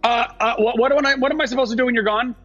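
Husky, flustered young man. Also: extremely high pitch, steady tone, very fast, fairly bright tone, highs cut off, faint music behind. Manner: stammering, nervous. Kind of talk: live comedy script read.

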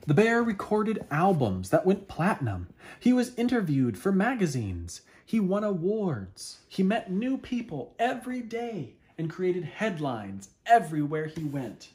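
A man in his thirties reading a children's picture book aloud expressively, with dramatic, deliberate pauses. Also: high-pitched, huge pitch swings, darkish tone. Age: 30s. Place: small living room at home, indoors.

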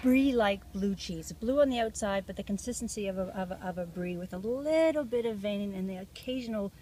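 Female cheesemaker, 40s, casual and friendly, mid-pitched, noisy outdoor patio. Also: brisk. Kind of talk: casual interview.

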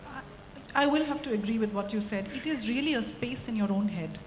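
A woman's voice: fairly high pitch, brisk, a little mellow. Reassuring woman panelist in an echoey hall. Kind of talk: panel discussion. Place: conference stage before an audience. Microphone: handheld mic. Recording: echoey hall with faint noise.

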